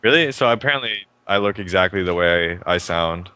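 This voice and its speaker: A young man hosting a live stream show, talking fast and upbeat about a fighting game and often restarting his sentences. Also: deep voice, expressive, bright, forceful tone, smooth, no room sound. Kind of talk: casual game commentary.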